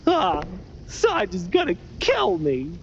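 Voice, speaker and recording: A woman voicing an excitable, hapless mouse underling in a cartoon game, animated and exclamatory. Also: mid-range voice, slow pace, heavy background noise, heavily acted. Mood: panicked. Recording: muffled, music behind.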